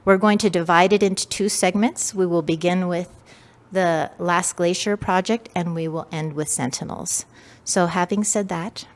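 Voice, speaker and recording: A woman's voice: fairly low pitch, fairly even intonation, moderate pace. A woman moderating a museum panel, reading a formal list of thanks with small slips. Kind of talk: reading acknowledgments.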